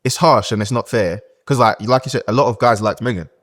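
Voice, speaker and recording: Candid low-voiced man. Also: fairly quick, a bit bright. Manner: candid, hesitant, restarts often. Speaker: guest. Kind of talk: casual podcast chat.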